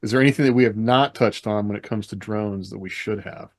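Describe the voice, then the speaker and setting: A man's voice: fairly low pitch, fairly quick, no room sound. Informal male podcast host, dry sound. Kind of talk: casual podcast discussion.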